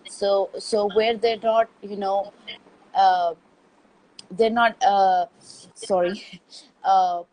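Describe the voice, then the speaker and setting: A woman host from Pakistan, asking questions thoughtfully and hesitantly, pausing to correct her slips. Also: medium pitch, flat delivery, a little mellow, rough recording. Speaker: podcast host. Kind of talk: interview conversation.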